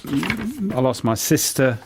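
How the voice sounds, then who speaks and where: Halting British man, older poet. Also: on the high side, big pitch swings, noisy background. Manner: halting, stammered repeats. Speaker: poet, interview guest. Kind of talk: casual radio interview.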